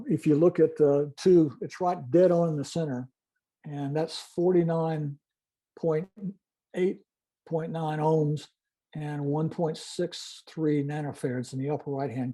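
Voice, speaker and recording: An older man giving an informal technical presentation and demonstration, explaining methodically in a halting way. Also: fairly high pitch, warm tone. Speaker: club presenter, retired-style veteran telephony engineer.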